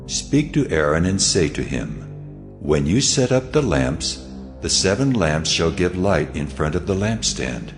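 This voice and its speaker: A man's voice: sits low, sing-song, a little slow, exaggerated voice. A man playing God in a dramatized scripture reading, solemn and authoritative, giving commands. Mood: solemn, commanding. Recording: long cavernous reverb. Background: faint music.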